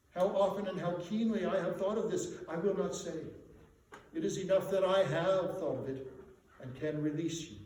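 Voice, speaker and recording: A man voicing a sorrowful, dignified young woman in a dramatic reading, earnest, measured and reproachful. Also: fairly high pitch, dark tone, close to an everyday voice. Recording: echoey room, distant mic, noisy.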